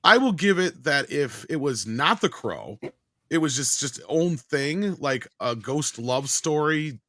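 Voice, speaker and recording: A man's voice: on the high side, big pitch swings, measured pace, pushed and bright, no echo, studio quality. A man talking casually on a film review panel, blunt and emphatic, swearing freely.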